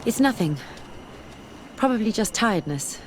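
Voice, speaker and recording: An actress plays a curious, skeptical scholar in a fantasy game. She is medium-pitched, thoughtful and determined, with clear ambient noise behind her. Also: expressive, moderate pace, natural voice. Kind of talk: video game dialogue acting.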